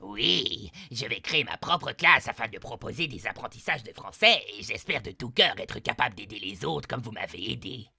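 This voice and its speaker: A man voicing a courteous, earnest male goblin teacher in an animated comedy dub, formal, with a gravelly rasp. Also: medium pitch, normal speed, few pauses, dry recording, clean studio sound, pitched below the performer's own voice, exaggerated voice. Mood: grateful. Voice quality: gravelly, raspy. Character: newly eloquent male goblin, polite, earnest would-be teacher.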